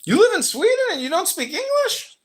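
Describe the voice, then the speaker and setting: A man's voice: very high voice, sing-song, brisk. Sarcastic American man feigning ignorance. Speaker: podcast host. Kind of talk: provocative mock-naive questioning.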